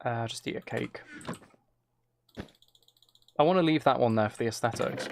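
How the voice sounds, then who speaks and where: Male game streamer, gravelly medium-pitched voice, low-key casual chat, close mic with keyboard clicks. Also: moderate pace, noisy background. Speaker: streamer playing a game while chatting with viewers.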